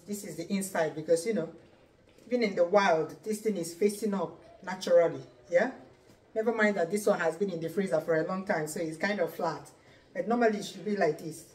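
A woman's voice: mid-range voice, darkish tone, rough recording. A Nigerian woman in her forties hosting a cooking video in her home kitchen, chatty and playful, thinking aloud as she cooks. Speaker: cooking channel host. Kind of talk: cook-along cooking video. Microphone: camera at a distance.

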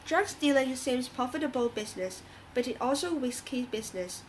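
A woman's voice: high-pitched, lively intonation, a little fast, rough recording. A woman playing an earnest, moralizing talk show host in a scripted radio drama, reading didactically.

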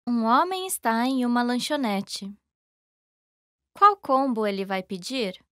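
A woman reading scripted lesson dialogue in a careful, even way. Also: fairly high pitch, slow, no room sound, clean studio sound. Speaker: language lesson voice actor.

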